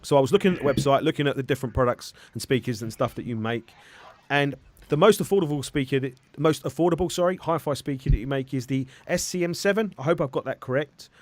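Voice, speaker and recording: A man's voice: mid-range voice, fast talker, dry recording. A man hosting a casual live-stream interview, rambling and often correcting himself as he phrases questions. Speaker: interviewer and hi-fi review host.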